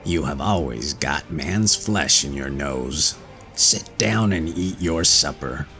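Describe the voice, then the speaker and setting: Old crafty grandmother in a fairy tale, read by a man: low, gravelly, unhurried. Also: expressive, pushed and bright, full character voice. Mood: calm. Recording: faint music behind.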